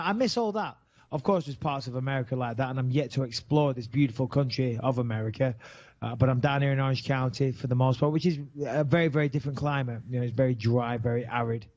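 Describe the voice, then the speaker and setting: A man's voice: medium pitch, a little mellow. A middle-aged man with a northern English accent, hosting a podcast in casual, sarcastic, swearing chat. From England. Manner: sarcastic, joking, swears freely. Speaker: podcast host. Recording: dry, dull studio podcast sound.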